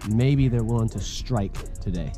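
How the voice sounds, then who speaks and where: A man's voice: on the low side, unhurried. Folksy American man vlogging over music. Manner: colloquial, folksy slang. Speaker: fishing vlog host. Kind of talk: casual outdoor vlog chat to viewers. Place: outdoors by a pond. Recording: music laid over speech.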